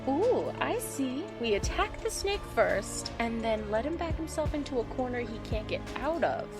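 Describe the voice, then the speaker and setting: A woman's voice: high-pitched, very animated, moderate pace. A woman acting in an audio drama as a calculating, knowing member of a vigilante team. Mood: approving, sly. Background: music.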